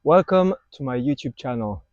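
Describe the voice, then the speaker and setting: A man's voice: mid-range voice, animated, moderate pace, a little mellow, lo-fi sound, slight background noise. A man in his twenties with a French accent, speaking hesitantly to camera in a park, with lots of fillers and restarts. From France. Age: 20s. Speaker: vlogger. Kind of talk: casual talk to camera. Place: city park outdoors. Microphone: wireless clip-on mic recorded to phone.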